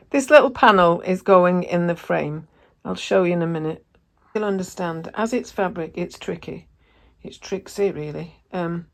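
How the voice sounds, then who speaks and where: Woman, croaky gravelly voice, casual sewing vlog, rambling and self-correcting. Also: fairly low pitch. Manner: chatty, self-correcting, thinks aloud while working. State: croaky voice, coughing. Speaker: sewing vlogger.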